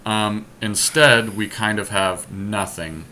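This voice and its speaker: A man with a fairly low, forceful voice talks casually through a card game as he plays. He works out each move aloud for his teammate, step by step. The amateur capture has some noise behind him. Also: takes it slowly. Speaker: podcast host playing an online card game. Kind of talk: casual gameplay commentary with a teammate. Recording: clear background noise, amateur screen capture.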